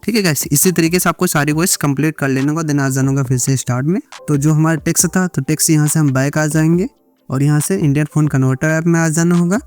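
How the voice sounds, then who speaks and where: A man's voice: on the high side, fast, darkish tone, faint music behind. An Indian man narrating a step-by-step editing tutorial, mixing English words into repetitive instructions. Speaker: video editing tutorial creator.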